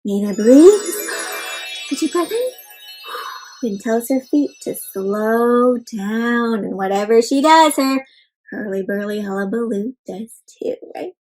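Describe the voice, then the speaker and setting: A woman hosting an interactive picture-book read-aloud for young children, expressive and speaking directly to her listeners with questions. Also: a little high, takes it slowly, soft background music, poor audio.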